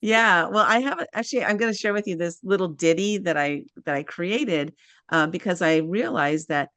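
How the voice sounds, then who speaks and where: A woman's voice: on the low side, moderate pace, no room sound. A woman hosting a casual author chat, telling stories and acting out her own quotes. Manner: animated storyteller, acts out quotes. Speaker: host.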